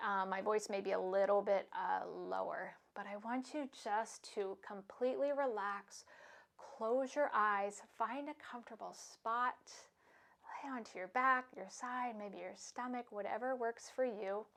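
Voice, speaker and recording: A woman yoga instructor giving calm, soothing, unhurried cues for stretching, breathing and meditation. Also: medium pitch, gravelly.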